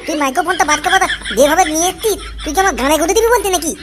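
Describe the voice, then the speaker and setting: Squeaky, hot-tempered young paratha seller. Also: rapid-fire, heavy background noise. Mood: furious, scolding. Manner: ranting, scolding. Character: hot-tempered young male street paratha seller, boastful, foul-mouthed. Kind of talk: comic Bengali cartoon dialogue. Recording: loud music behind the voice. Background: music.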